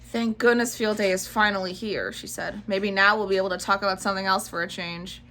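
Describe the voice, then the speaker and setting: A woman voicing a calm, slightly weary mother in a children's storybook reading. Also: mid-range voice, fairly quick, close to an everyday voice. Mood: patient. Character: patient tired mother of young kids.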